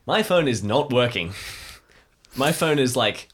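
A man's voice: mid-range voice, expressive, slow, a bit bright, a little hiss or hum. A man playing a tabletop role-playing game, chatting casually and thinking aloud in broken, hesitant phrases. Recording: music playing behind the voice. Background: background music.

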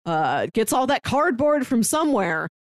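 A woman's voice: on the high side, big pitch swings, normal speed, dry recording. Chatty woman on a close, dry mic. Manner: chatty, detailed retelling with playful asides. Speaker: podcast co-host. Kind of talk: casual film discussion.

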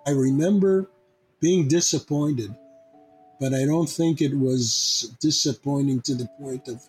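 A man in his eighties or older with a rough, husky voice, reminiscing haltingly and matter-of-factly. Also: medium pitch, a little mellow, low-quality recording. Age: 80s or older. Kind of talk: personal reminiscence. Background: music.

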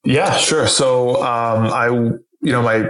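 A man whose first language is French, talking as an interview guest in a halting way with many fillers and restarts. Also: medium pitch, steady tone, a bit bright. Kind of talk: casual interview conversation. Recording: roomy echo.

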